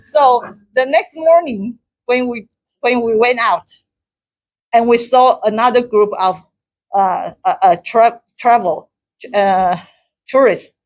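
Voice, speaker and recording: Halting Taiwanese woman telling a story. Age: middle-aged. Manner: halting, with many restarts and repeated words; laughs often, gets high and loud when excited. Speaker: club speaker. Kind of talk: personal story in a speaking club.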